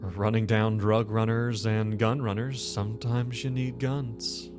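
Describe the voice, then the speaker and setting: Man playing a smug, low-voiced federal agent. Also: little pitch movement, music in the background, natural voice. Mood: smug, condescending. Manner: unhurried, condescending. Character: smug, coldly self-assured male federal agent.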